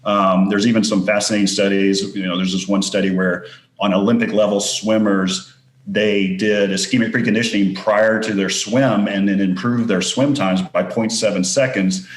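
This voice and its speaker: A man from West Texas with a West Texas accent, a physical therapist explaining things as a guest in a casual podcast interview, relaxed with many restarts. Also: on the low side, normal speed, roomy sound.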